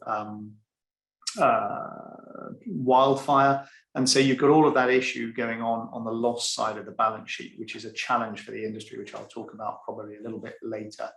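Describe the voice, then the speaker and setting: A man's voice: mid-range voice, little pitch movement, moderate pace, vocal fry, fairly bright tone. A man on a webinar panel, explaining an insurance executive's view in a conversational, step-by-step way with fillers over a video call. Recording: echoey room.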